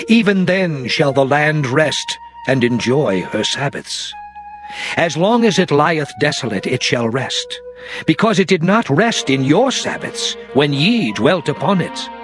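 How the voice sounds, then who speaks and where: A man playing God as a stern, solemn deity in a dramatized King James scripture reading, declaiming in archaic diction. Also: fairly high pitch. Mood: grave, condemning. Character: God, stern male deity pronouncing curses and covenant. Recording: music behind the voice. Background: clear background music.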